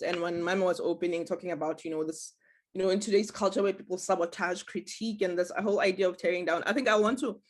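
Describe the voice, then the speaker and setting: A woman's voice: on the low side, a little mellow. A woman moderating a panel off the cuff, in a rambling, effusive way.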